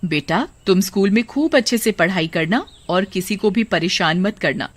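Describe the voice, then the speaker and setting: A woman voicing a loving, reassuring mother in a children's story, doting and gently instructive. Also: medium pitch, animated, moderate pace, natural voice. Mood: instructing.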